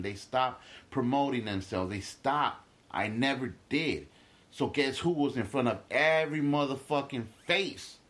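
Emphatic swearing comedian, low voice. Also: male voice, a bit bright. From Guatemala. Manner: emphatic, swearing, with stammered restarts. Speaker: stand-up comedian, guest. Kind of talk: casual podcast interview.

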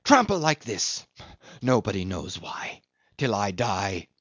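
A man's voice: lowish voice, big pitch swings, a little slow, no room sound, muffled recording. A man voicing a cringing, bitter old male schemer who whines coaxingly and breaks into cracked squeals.

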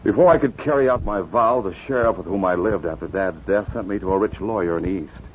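A man's voice: low voice, fast talker, natural voice. An actor playing an earnest young doctor bent on avenging his father in a 1950s radio western, intense in delivery. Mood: bitter, resolute. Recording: muffled, hissy 1950s radio recording.

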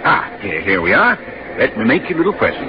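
An actor playing a jovial, teasing, pushy American commuter pal in a 1940s radio drama. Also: male voice, lowish voice, wildly swooping pitch, fast, music in the background. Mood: pushy, helpful. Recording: muffled, noisy 1940s radio recording.

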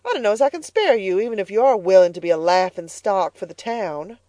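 A woman reading the part of a bitter, stingy farm mother who scolds loudly and sharply. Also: mid-range voice, expressive, fairly quick, no echo, voice pushed up, close to an everyday voice. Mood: scolding. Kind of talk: audiobook character voice.

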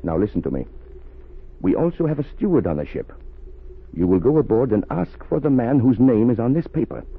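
An actor playing a cold, domineering spy ringleader with a husky voice and commanding manner in 1930s radio drama. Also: male voice, medium pitch, very animated, brisk. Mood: stern, impatient. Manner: commanding, stern. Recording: tinny phone-like 1930s radio recording.

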